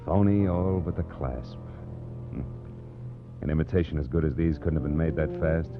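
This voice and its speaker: A hardboiled private eye from a 1940s radio drama. His voice is low and his wit is dry and sardonic. The sound is a muffled vintage recording with music. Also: moderate pace. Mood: narrating. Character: hardboiled, wisecracking male private detective. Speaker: lead actor and narrator of a detective series. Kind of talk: 1940s radio noir acting.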